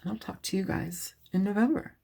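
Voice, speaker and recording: A woman's voice: medium pitch, brisk, creaky, warm tone. A woman giving a casual tarot reading to camera, sniffly from a cold, talking in a loose, rambling way full of fillers, often laughing. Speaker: tarot reader.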